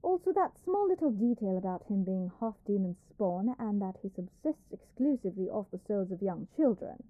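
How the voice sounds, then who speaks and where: A woman playing a haughty, animated spoiled princess. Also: medium pitch, moderate pace, few pauses, dry recording, phone-quality sound, lightly acted. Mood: indignant, haughty. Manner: haughty, theatrical, complaining. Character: arrogant young princess, haughty, petulant and spoiled. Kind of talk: dramatic roleplay audio acting.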